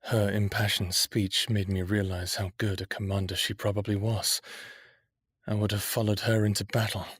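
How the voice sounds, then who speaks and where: An actor playing a defiant, mouthy human captive who yearns for freedom, in an intense, brooding audio drama style with first-person narration. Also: male voice, lowish voice, flat delivery, normal speed, a bit bright, husky, dry recording, clean studio sound. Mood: brooding, introspective.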